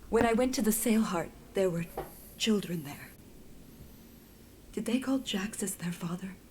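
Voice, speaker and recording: An actress playing a tender, sorrowful woman in a fantasy video game, speaking gently and hesitantly. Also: medium pitch, moderate pace, slight background noise, close to an everyday voice. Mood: tentative, sad.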